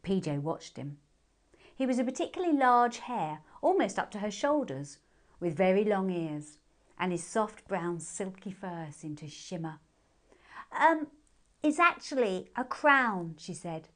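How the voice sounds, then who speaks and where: A woman's voice: fairly low pitch, expressive, normal speed. British woman reading a children's story. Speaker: children's author reading aloud. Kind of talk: expressive scripted storybook narration.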